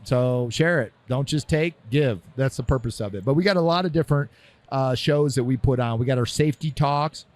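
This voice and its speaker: A man hosting a podcast interview, at an ordinary middle pitch. He talks in loose, joking banter and likes to needle his guest. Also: fairly quick. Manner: joking, pushy, energetic banter. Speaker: podcast host.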